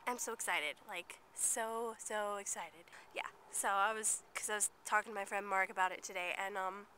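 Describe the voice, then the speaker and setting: A woman's voice: mid-range voice, steady tone, normal speed, pushed and bright, a little hiss or hum, poor audio. A young woman with a cold, chatting in a rambling vlog as she films herself outdoors at night, sometimes dropping to a whisper. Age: young adult. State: sick with a blocked nose. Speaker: vlogger. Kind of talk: casual vlog chat. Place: outdoors at night near a playground and parking lot. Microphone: handheld camera at arm's length.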